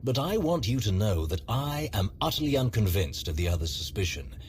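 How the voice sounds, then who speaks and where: A man playing a loyal Imperial ally in a scripted video game, formal, measured and earnest. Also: fairly low pitch, big pitch swings, a little mellow, a little hiss or hum. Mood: confiding. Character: grave, loyal Imperial ally confiding political warnings.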